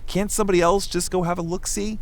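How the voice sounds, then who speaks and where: A man's voice: sits high, fairly even intonation, quick pace, slight background noise. A man playing a worried, complaining ship's doctor in an audio drama. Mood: querulous. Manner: complaining, questioning.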